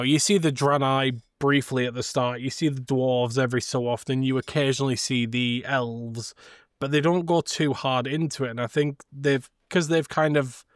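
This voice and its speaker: A man's voice: mid-range voice, no echo, studio quality. A British man co-hosting a podcast and casually discussing films. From Britain. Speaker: podcast co-host.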